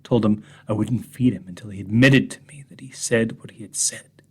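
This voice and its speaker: A man reading a horror story in the voice of an unhinged drunk man confessing to strangers, husky and often whispering, veering from hushed murmurs to sharp mocking rises. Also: on the low side, normal speed. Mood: menacing. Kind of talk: first-person horror monologue reading.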